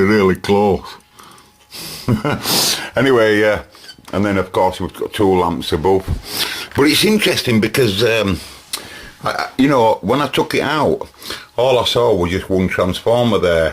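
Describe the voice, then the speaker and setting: Low-pitched Northern English man, chatty and rambling. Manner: chatty, rambling, self-questioning, chuckling asides. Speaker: vintage radio repair hobbyist and presenter. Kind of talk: informal hands-on technical walkthrough.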